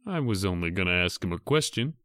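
A man's voice: low voice, sing-song, fast, fairly bright tone, no room sound. An actor playing a sullen, trigger-happy American gunman in an old-time radio crime drama, speaking in terse, tough slang. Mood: sullen, impatient. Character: trigger-happy, sullen male gunman, robbery gang member.

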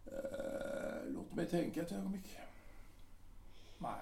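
A man acting out a sick, scornful captain in a radio drama, his high voice swooping widely as he stammers and repeats himself. He mutters some words almost under his breath. The room around him rings with echo. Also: expressive, creaky, warm tone. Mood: brooding. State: ill, short of strength. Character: bitter ailing middle-aged artillery captain husband. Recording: echoey room.

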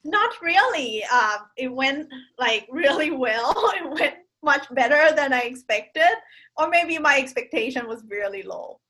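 A woman from Thailand, a podcast guest telling a personal story in casual conversation, pausing often with fillers and restarts. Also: sits high, animated, sharp tone, low-quality recording. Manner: hesitant, many fillers and restarts.

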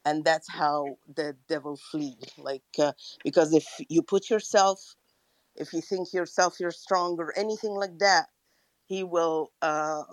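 Lady calling in, low voice, slow and halting, lots of um and uh. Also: no room sound. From Egypt. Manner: halting, many fillers and restarts. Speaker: caller. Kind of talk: personal testimony in a call-in chat.

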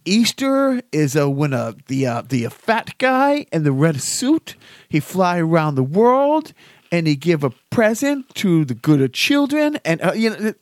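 A man voicing an eager, confidently wrong man with a put-on Portuguese accent, in slow broken English. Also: fairly high pitch, very animated, dry recording, clean studio sound. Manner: drawn-out, hesitant broken English.

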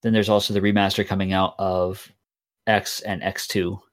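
Laid-back low-voiced male podcaster. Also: moderate pace, no room sound, studio quality. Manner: relaxed, hesitant, lots of ums. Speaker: podcast co-host. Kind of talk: casual gaming chat.

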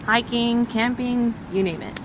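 A woman's voice: fairly high pitch, unhurried, background noise. A woman acting in an audio drama as a self-contained wandering survivor, matter-of-fact and reassuring. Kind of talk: naturalistic audio drama dialogue.

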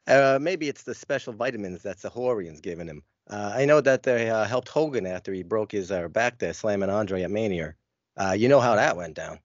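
A man's voice: on the low side, lively intonation, fairly quick, no room sound, lo-fi sound. A man playing an anxious, flustered character in a comedic audio play, hesitant and stammering. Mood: nervous, hesitant.

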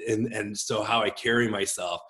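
Middle-aged man, a pastor, chatty interview guest, big expressive gregarious voice. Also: lowish voice, normal speed. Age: 40s. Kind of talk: casual conversational interview.

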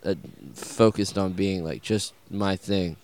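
A man with a Southern US accent, a musician answering interview questions in a loose, halting way, full of fillers and restarts. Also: low voice, fairly even intonation, a little mellow, clear, resonant tone. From American South. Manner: rambling, halting, many fillers and restarts, swears casually.

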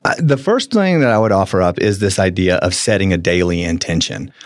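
A middle-aged man co-hosts a studio talk show in a fairly low voice. He speaks earnestly and laughs easily, often stopping to restart his sentences. The sound is close and dry. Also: lively intonation, brisk, no room sound. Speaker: co-host. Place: radio studio.

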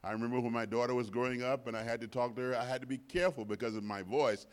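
A man in his sixties with a deep voice, preaching as a guest pastor in church with emphatic repetition that swings from soft to loud. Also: steady tone, racing, clear, resonant tone. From United States. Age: 60s. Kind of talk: sermon. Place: church sanctuary.

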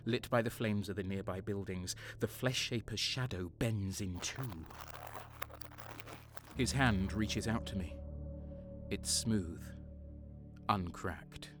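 A man plays a cold, sadistic soldier telling his own tale in an audio drama. His voice is fairly low and he takes his time, deliberate and intense, over a music score. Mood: cold, brooding. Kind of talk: dramatic first-person audio drama narration.

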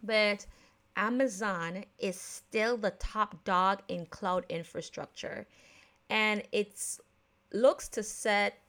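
Bright-voiced Jamaican-American woman podcaster. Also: medium pitch. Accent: Jamaican mixed with American. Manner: chatty, laughs at herself, many fillers and restarts. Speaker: podcast host. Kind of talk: casual solo podcast talk on investing.

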